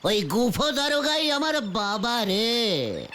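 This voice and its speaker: A performer voicing an excitable, melodramatic comic uncle in a cartoon, theatrical and boastful. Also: mid-range voice, slow. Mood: chatty, boastful. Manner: theatrical wailing, exclaiming and chuckling storytelling. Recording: loud music behind the voice.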